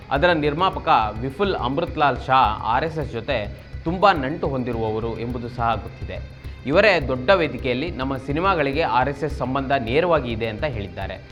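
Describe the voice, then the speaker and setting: A man's voice: mid-range voice, fairly bright tone. A man from Karnataka presenting a scripted political news explainer, formal and expository, addressing viewers directly. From Karnataka, India.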